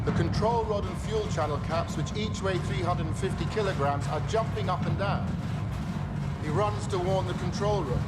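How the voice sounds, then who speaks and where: A man acting as a grave, bitter nuclear scientist testifying at a trial in a screen drama, measured and emphatic as he explains. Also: fairly high pitch, close to an everyday voice.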